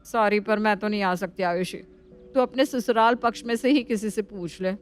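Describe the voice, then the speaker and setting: A woman's voice: mid-range voice, normal speed, slight background noise, lightly acted. A woman voicing a dismissive, excuse-making married sister-in-law in a family story.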